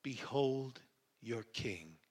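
A man's voice: on the high side, animated, unhurried, sharp tone, exaggerated voice. A man voicing a troubled, authoritative Roman governor in a Bible reading, declaiming, questioning and pleading. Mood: grave.

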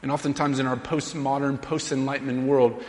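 Thirtysomething pastor guy preaching, earnest and emphatic, mid pitch, long church reverb. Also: level tone, a little mellow. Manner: earnest, emphatic, slows for scripture readings. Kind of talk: sermon. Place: church sanctuary. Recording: long reverb of a large hall.

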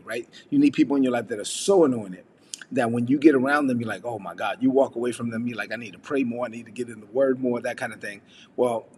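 A man's voice: mid-range voice, long reverb tail, a little hiss or hum. A man from the United States giving a casual devotional message, talking conversationally with frequent fillers and rhetorical questions. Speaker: preacher.

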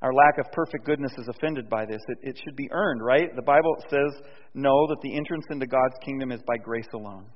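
A man preaching a sermon in a conversational way, dropping soft and low and then rising loud and emphatic. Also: fairly quick. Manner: conversational, with restarts and fillers. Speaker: pastor. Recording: dull sound with long hall reverb.

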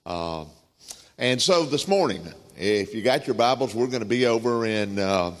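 A man with a Southern US accent preaching a sermon, telling folksy stories with frequent pauses and filler words. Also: medium pitch, lively intonation. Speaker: guest preacher. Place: church sanctuary. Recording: reverberant church sanctuary.

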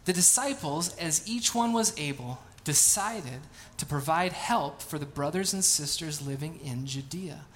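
Bright-voiced pastor in his thirties. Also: fairly high pitch, lively intonation, measured pace, few pauses, a little hiss or hum. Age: 30s. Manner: casual, chatty, self-deprecating storytelling. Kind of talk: sermon with scripture reading and prayer. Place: church sanctuary.

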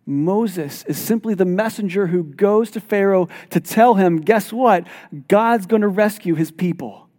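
A man preaching a sermon as pastor, reading scripture aloud and explaining it in an animated, emphatic way. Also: high-pitched, measured pace. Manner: animated, self-deprecating, emphatic. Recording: long hall reverb.

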